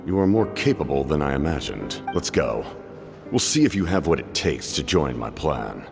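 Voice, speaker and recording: A man voicing a grave, proud military captain in a video game, speaking in a formal, measured and stern way. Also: extremely low pitch, very animated, full character voice. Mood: guarded, measured. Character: grave, proud male Fatui captain, commanding and guarded. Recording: long reverb, clear music behind.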